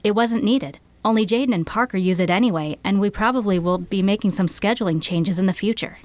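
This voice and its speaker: An actress playing a businesslike radio program director in an audio drama, firm and matter-of-fact. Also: female voice, medium pitch, a little fast, a little mellow, no echo, natural voice. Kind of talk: audio theater acting.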